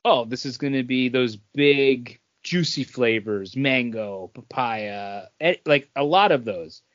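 A man's voice: mid-range voice, slow pace, fairly bright tone, no room sound. A man co-hosting a podcast in a casual, bantering conversational style. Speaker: podcast co-host. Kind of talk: casual chat. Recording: dull lo-fi dry close recording.

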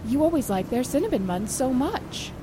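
A woman's voice: high voice, very animated, normal speed, background noise. A woman playing a mild, trusting small-town housewife with a hesitant, earnest manner in a 1940s-style radio drama. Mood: puzzled, protesting.

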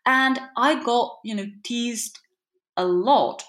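A woman's voice: a little high, slow, a bit bright. A woman from Ukraine, a native Ukrainian speaker, giving discursive interview answers in English and often saying "you know". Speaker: interview guest, journalist and translator. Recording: roomy, echoey sound.

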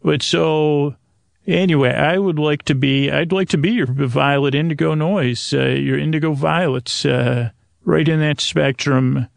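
A man hosting a bedtime podcast in a rambling, improvised monologue, droning along in a halting, whimsically tangential way. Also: on the high side, creaky, no room sound. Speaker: podcast host.

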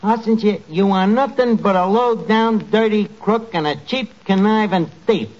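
A man's voice: sits high, measured pace, darkish tone. An actor playing a sour, streetwise, wisecracking male tavern manager with slangy speech in a 1940s radio comedy. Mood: indignant. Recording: muffled, noisy 1940s radio recording.

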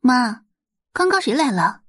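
A woman's voice: sits high, sing-song, unhurried, fairly bright tone, breathy edge, no room sound, clean studio sound, voice pushed up, full character voice. A woman voicing a young rich daughter in an audio drama, falsely sweet, cooing and wheedling. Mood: probing.

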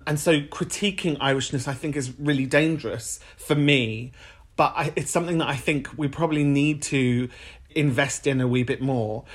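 A man with an English-sounding accent, raised in Ireland, speaks at an ordinary middle pitch. He talks earnestly and thoughtfully as a podcast host. With callers he turns lively and playful. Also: moderate pace. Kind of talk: reflective solo monologue.

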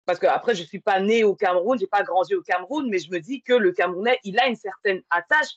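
Husky woman, reflective and hesitant. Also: medium pitch, fast talker, no room sound. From born and grew up in France. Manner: hesitant, circling back to explain herself. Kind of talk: casual reflective conversation. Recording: slightly dull lo-fi sound.

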